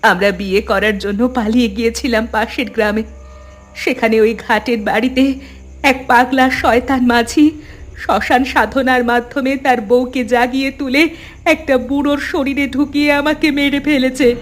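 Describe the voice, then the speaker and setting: A woman's voice: sits high, steady tone, normal speed, soft background music, close to an everyday voice. A woman voicing the sorrowful ghost of a young daughter in a cartoon, pleading plaintively. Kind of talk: dramatic cartoon voice acting.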